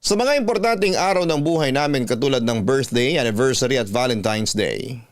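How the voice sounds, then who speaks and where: A man with a Filipino accent giving sentimental, reflective first-person narration in measured phrases. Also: medium pitch, expressive, no room sound, studio quality. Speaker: storytelling host and narrator.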